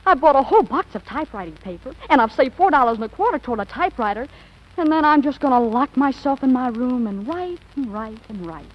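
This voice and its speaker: A woman's voice: high voice, lively intonation, fast talker. A woman playing a dramatic, stubborn fifteen-year-old girl with a petulant, slangy way of talking in an old radio comedy. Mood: dreamy, melodramatic. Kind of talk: 1940s radio comedy acting. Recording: dull old radio broadcast recording.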